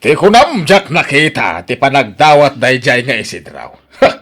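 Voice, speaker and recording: A man's voice: mid-range voice, sing-song, moderate pace, a bit bright. A man with an Ilocano accent playing a stingy, spiteful fruit-tree owner in a radio drama. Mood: spiteful anger.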